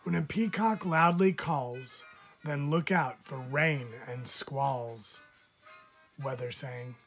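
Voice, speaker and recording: A man, a teacher, reading children's animal poems aloud in a measured, expressive storytelling manner. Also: medium pitch, faint music behind.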